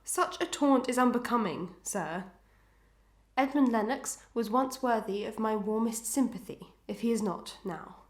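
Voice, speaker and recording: A woman's voice: on the high side, a little slow, close to an everyday voice. A woman playing a sorrowful, dignified wife torn between love and duty in a Victorian melodrama, declaiming formally with pathos. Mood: wounded dignity. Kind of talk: Victorian melodrama audio acting. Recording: echoey, reverberant room.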